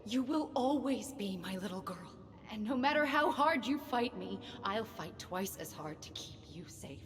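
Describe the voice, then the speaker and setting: A woman plays a controlling, middle-aged mother in a game drama. She is protective, stern and insistent, with firm, deliberate phrasing. The sound is noisy and distant. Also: medium pitch, expressive, in a large hall, close to an everyday voice. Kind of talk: video game dialogue acting.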